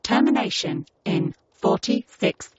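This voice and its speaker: An actor voicing an ominous alien machine with a processed, rough, drawn-out voice in a radio comedy drama. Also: male voice, dull audio. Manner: slow, drawn-out.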